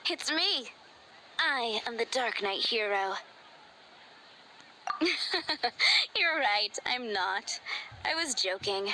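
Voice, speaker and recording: Slow-talking, teasing young woman. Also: on the high side, animated, pushed and bright, slight background noise, full character voice. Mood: playful. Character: young woman tavern owner, playful, coolly teasing. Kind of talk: anime game voice acting. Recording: music under the voice.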